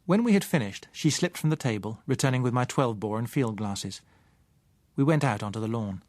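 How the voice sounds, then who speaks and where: A man reading a first-person novel as an audiobook narrator, measured and articulate. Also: medium pitch, animated, a little mellow, no room sound.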